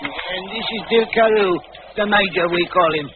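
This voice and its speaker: Man playing a polite sideshow guy, high voice, thin tinny old radio sound. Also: normal speed, noisy background, lightly acted. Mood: affable. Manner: plain, friendly, polite. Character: affable, courteous male carnival performer making introductions. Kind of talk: 1940s radio acting.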